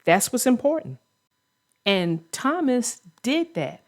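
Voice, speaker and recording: A woman with a fairly high voice and a slow, deliberate pace. She hosts a chat about children's stories for young readers. Her delivery is earnest and emphatic, like someone teaching a lesson. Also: big pitch swings. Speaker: host and children's book narrator. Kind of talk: casual discussion of a children's story for young listeners.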